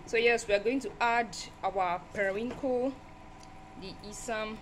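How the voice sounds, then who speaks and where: A woman's voice: on the high side, unhurried. A young Nigerian woman chats casually and thinks aloud as she films herself cooking on a handheld phone in her home kitchen. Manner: chatty, exclaiming, thinking aloud. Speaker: food vlogger. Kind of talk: casual cooking vlog chat. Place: small home kitchen, indoors. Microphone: handheld phone behind the camera. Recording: noisy phone recording with kitchen cooking sounds. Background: clear cooking noise.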